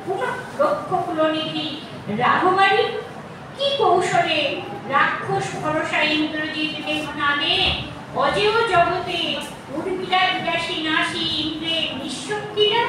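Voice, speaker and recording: A woman's voice: extremely high pitch, a little slow. A woman with a West Bengali accent giving a solemn, declamatory recitation of epic poetry. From Kolkata, West Bengal. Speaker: workshop student. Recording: echoey noisy hall, distant mic.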